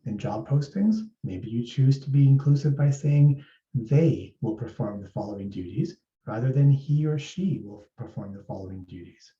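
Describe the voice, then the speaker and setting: Man from east africa, calm explainer, roomy echoey sound. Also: mid-range voice. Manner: measured, explanatory. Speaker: HR speaker. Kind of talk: presentation talk. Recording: roomy, distant, dull sound.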